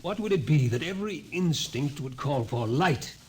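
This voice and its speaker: An actor playing a grave, fatalistic scientist in an old-time radio drama, explaining things ominously. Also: male voice, a little high, expressive, normal speed, close to an everyday voice. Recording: noisy vintage radio recording.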